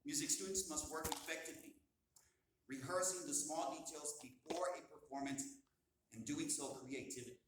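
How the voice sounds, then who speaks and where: A man with a slightly high voice reads a prepared text in a formal, measured way. He is heard from a distance in a very echoey hall, with a faint hiss of noise behind him. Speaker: orchestra teacher. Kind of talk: reading an essay aloud at a recital. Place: recital hall.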